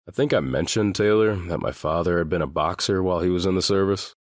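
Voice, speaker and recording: A man playing a guarded seventeen-year-old boy in a full-cast audiobook, speaking in a deliberate, matter-of-fact way. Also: deep voice, dry recording, studio quality. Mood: somber, controlled. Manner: deliberate, matter-of-fact, swears now and then.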